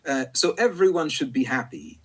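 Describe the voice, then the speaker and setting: Husky, halting male poet. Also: mid-range voice, very animated, fairly bright tone. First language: Italian. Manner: halting, many fillers and repeated words. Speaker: poet, interview guest. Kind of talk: reflective conversational interview.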